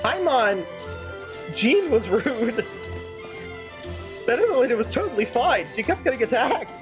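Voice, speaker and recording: A woman's voice: on the high side, sing-song, a little slow. Wry woman streamer over faint music. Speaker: game streamer. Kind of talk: casual game commentary.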